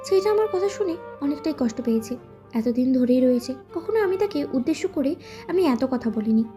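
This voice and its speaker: A woman's voice: sits high, animated, a little fast, mellow, faint music behind. A woman playing a jealous, lovesick young woman in an audio story drama monologue. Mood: regretful, confused.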